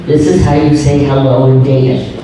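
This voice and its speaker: A man's voice: mid-range voice, level tone, normal speed, heavy reverb, distant mic, noisy background. A man hosting a class session, introducing a guest in a chatty, joking way. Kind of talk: classroom presentation.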